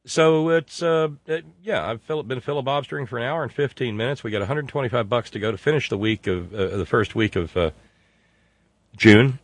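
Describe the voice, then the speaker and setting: A male host talks in a loose, rambling way, stopping and restarting his sentences as he goes. His pitch sits in an ordinary middle range. The sound is close and completely dry, with no room around it. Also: no room sound. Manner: rambling, halting with many fillers and restarts. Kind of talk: casual livestream talk.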